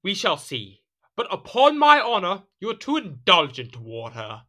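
A man's voice: high voice, wildly swooping pitch, moderate pace, bright, forceful tone, heavily acted. An actor playing a proud, petulant French king in a period audio drama, with a theatrical, formal manner. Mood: grudging, thoughtful. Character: proud, petulant French king, suspicious yet conciliatory husband.

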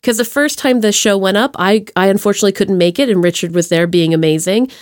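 A woman's voice: mid-range voice, fast, no echo, studio quality. A woman hosting a podcast, chatting casually with playful enthusiasm and informal slang. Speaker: podcast host interviewing a guest. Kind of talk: casual upbeat chat. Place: remote video call.

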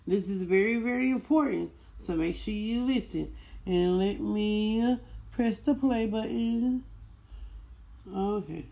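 A woman hosts a book club in a casual, chatty way. Her voice sits at an ordinary female pitch. She speaks directly to her followers and restates points to drive them home. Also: takes it slowly, creaky, dark tone. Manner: repeats points for emphasis, informal. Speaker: host of a book club vlog.

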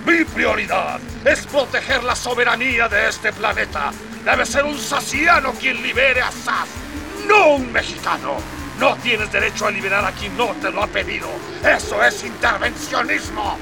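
Creaky high-voiced barking colonel. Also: male voice, normal speed, music in the background. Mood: indignant. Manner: barking, authoritative. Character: stern male alien military colonel, patriotic, commanding. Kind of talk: radio drama acting. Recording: off-mic, distant.

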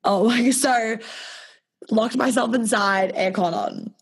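A woman with a husky, hoarse voice from laryngitis, hosting a podcast in a casual, candid chat and swearing freely. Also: fairly high pitch, slow. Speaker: podcast host and life coach. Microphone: video call.

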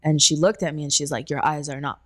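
Woman, thirties, low-pitched voice, casual conversational storytelling. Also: fairly even intonation, quick pace, a bit bright. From Canada. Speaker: interview guest.

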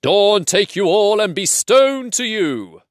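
Man voicing an old wizard, sly and brisk, over 60. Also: sits high, sing-song, no echo, studio quality, pitched above the performer's own voice, exaggerated voice. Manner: sly and commanding. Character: old male wizard mimicking troll voices.

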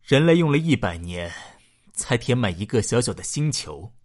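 Casting: male actor as urgent fleet analyst, husky, very animated delivery, radio drama. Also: medium pitch, moderate pace. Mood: insistent. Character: insistent, alarmed male space-fleet data analyst. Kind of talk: Chinese science-fiction radio drama acting.

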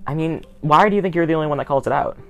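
A man's voice: fairly high pitch, very fast, gravelly, faint music behind. A teenage male with an American accent, hosting a casual interview podcast with sarcastic asides.

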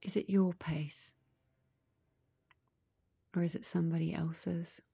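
Slow, hushed, husky woman guiding meditation. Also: fairly low pitch. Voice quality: soft, breathy, husky, near-whisper. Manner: calm, unhurried, long pauses between short phrases. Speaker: guided meditation leader.

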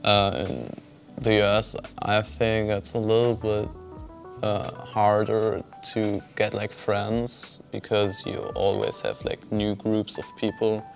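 Flat-voiced young man. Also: on the low side, slow pace, creaky, soft background music. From Germany. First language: German. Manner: hesitant, many fillers. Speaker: guest student. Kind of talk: casual interview answers.

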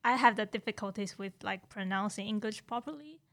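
A woman's voice: medium pitch, a little fast, a bit bright. A young woman from Taiwan with a Taiwanese accent, speaking as a podcast guest in a hesitant, self-deprecating way. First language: Mandarin. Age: young adult.

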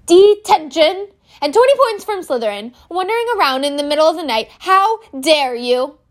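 A woman's voice: sits high, expressive, normal speed, pitched above the performer's own voice. A woman playing a stern scolding old professor. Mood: indignant. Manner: scolding, clipped. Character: stern strict older female professor.

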